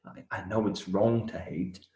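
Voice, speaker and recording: An actor playing a young minstrel, cheery by nature but troubled, earnest and folksy in a radio play. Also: male voice, deep voice, a little slow, mellow, dull audio. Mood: indignant. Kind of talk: theatrical radio play acting. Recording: roomy echo.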